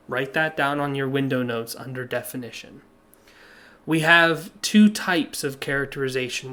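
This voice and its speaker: Slightly hoarse male teacher. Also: medium pitch, bright, forceful tone. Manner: plain, patient explaining with many "uh" fillers. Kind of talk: recorded classroom lesson over slides.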